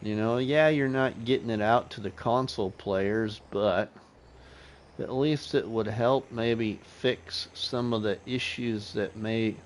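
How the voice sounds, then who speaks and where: A man hosting a casual gaming livestream, chatting to viewers in a relaxed, rambling way with fillers. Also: on the low side, slow, a little hiss or hum. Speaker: livestream host.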